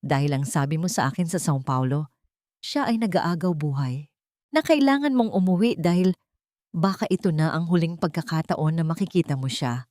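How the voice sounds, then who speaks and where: A woman's voice: low-pitched, lively intonation, normal speed, a little mellow, dry recording, studio quality. A woman voicing a cold, betrayed billionaire man in a story, controlled and accusing. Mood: cold anger.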